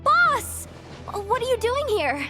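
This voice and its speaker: A woman's voice: extremely high pitch, sing-song, takes it slowly, over music, exaggerated voice. A woman playing an earnest young female employee in a video game, timid and hesitant before her boss. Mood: startled. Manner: hesitant, pleading. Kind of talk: English video game dub.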